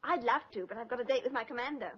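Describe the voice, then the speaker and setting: A woman's voice: fairly high pitch, natural voice. A woman playing a bossy American businesswoman. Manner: bossy, brisk, wisecracking. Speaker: dating agency owner. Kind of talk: 1940s film acting. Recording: dull old film soundtrack.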